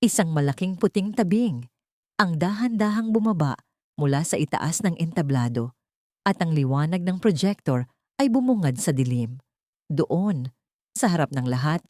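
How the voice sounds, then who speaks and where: Female narrator for melodramatic audio fiction: slightly low pitch, highly animated intonation, scripted delivery. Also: dry recording, studio quality. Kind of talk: dramatic scripted story narration.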